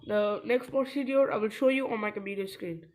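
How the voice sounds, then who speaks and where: A boy, giving a casual step-by-step tech tutorial in a halting way. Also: high-pitched. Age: child, early teens. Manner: halting, searching for words. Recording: distant mic, faint background noise.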